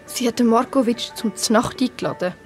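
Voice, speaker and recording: A female performer acting a cheeky, quick-witted schoolgirl in a children's radio play. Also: fairly high pitch, soft background music, close to an everyday voice. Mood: dismayed.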